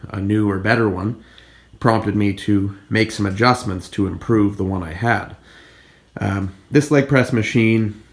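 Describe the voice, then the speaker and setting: A man's voice: on the low side, creaky. A man with a cold and a congested voice, hosting a casual walkthrough of his home gym equipment and explaining it plainly. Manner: plain, explanatory, pointing things out. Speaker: fitness channel host.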